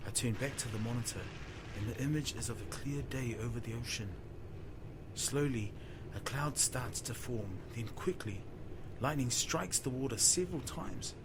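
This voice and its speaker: A man's voice: medium pitch, normal speed. A man narrating an audio story in the first person with a theatrical, dramatic manner. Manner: theatrical, present-tense storytelling. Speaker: storyteller, voice performer. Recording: strong background noise.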